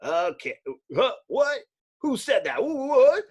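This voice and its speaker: A man's voice: extremely high pitch, sing-song, slow pace, voice pushed up, exaggerated voice. A man voicing an easily spooked working-man cab driver in a comic-book reading.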